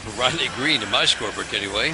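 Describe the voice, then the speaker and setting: A man's voice: mid-range voice, fast. American baseball play-by-play man, noisy ballpark behind. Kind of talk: live radio sports commentary. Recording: stadium ambience noise behind the voice. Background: ballpark ambience.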